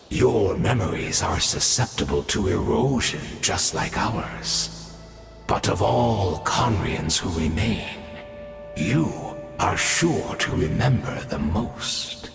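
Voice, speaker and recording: An actor playing a grandiose, scheming centuries-old villain in a video game, with theatrical, mock-courteous delivery and a gravelly voice. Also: male voice, lowish voice, fairly bright tone, heavily acted. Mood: coldly insistent. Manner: theatrical, measured, mock-courteous, swelling into fervour. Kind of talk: dramatic video game voice acting. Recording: echoey hall reverb under music. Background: game score music.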